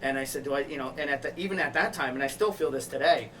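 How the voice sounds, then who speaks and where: A man with a mid-pitched voice tells a personal story as a podcast guest. His delivery is candid, reflective and casual. He sounds a bit away from the microphone, with noticeable noise around him. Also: fast, fairly bright tone, far from the mic. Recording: off-mic with clear background noise.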